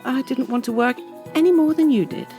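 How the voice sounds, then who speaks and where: An actress playing an anxious, mercenary middle-aged wife in an audio drama, with a husky voice. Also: female voice, high-pitched, a little fast, dark tone, faint music behind, lightly acted. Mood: complicit.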